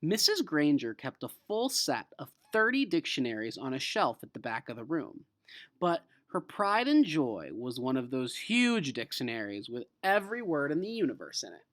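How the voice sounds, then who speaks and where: A male teacher with an animated, swinging pitch and a close, dry sound. He moves between storybook read-aloud and casual lesson talk, expressive and informal as he addresses his students. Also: dry recording. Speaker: teacher reading a children's novel aloud.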